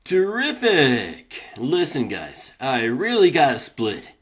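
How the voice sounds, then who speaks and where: A man voicing a cocky young mouse athlete in a cartoon comic dub, slangy, defiant and excitable. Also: medium pitch, slow. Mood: impatient.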